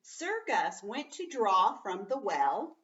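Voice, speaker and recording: A woman speaks as a primary teacher in a slightly dull, lo-fi recording. She takes her time, explaining in simple steps and stretching out story words with clear emphasis. Her pitch sits in an ordinary middle range for a woman. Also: far from the mic. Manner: expressive, emphatic, slows right down on story words; patient, simple step-by-step explaining. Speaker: teacher reading a story aloud to young pupils. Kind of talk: read-aloud lesson with explanations for children.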